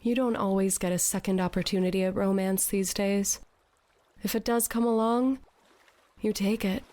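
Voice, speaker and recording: A woman's voice: mid-range voice, fairly even intonation, moderate pace, dark tone, lightly acted. An actress playing a sardonic, lovelorn woman aboard a tall ship in an audio drama, sarcastic and given to dramatics. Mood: flirtatious.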